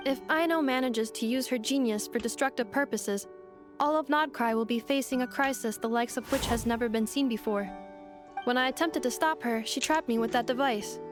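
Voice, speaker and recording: A woman's voice: fairly high pitch, fairly quick, over music, close to an everyday voice. A woman voicing an earnest female robot companion in a video game, speaking in clipped, formal, machine-like phrases. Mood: flat, factual. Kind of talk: video game character acting.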